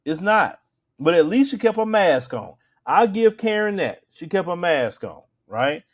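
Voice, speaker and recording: A man's voice: high voice, very animated, unhurried. Emphatic American man, casual commentary. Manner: colloquial, conversational, emphatic, repeats for emphasis. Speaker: show host and commentator.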